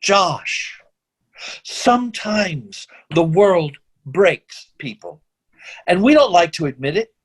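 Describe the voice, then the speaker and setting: Man playing impassioned absent father, wildly swinging pitch. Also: sing-song, exaggerated voice, rough recording. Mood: reflective, earnest. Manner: impassioned, halting monologues. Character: rambling, self-justifying absent father, idealistic, embittered drifter. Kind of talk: staged screenplay reading.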